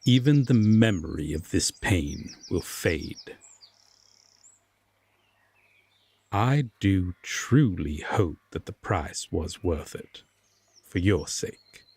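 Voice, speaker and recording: A man playing a solemn, regretful Fae king with hidden tenderness, speaking formally and with measured pauses in an audio roleplay drama. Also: sits low, expressive, vocal fry, darkish tone, husky, exaggerated voice. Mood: sorrowful, resigned. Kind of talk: slow audio roleplay drama addressed to the listener.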